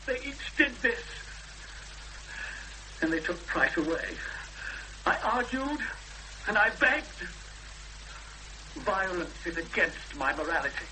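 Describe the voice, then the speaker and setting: Anguished, sharp-voiced, bitter male recluse. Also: high voice, sing-song, exaggerated voice. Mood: protesting, distraught. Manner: halting, plaintive, protesting. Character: beaten, bitter recluse man, anguished and self-pitying. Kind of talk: 1970s British radio drama acting. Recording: faintly noisy, humming old radio broadcast.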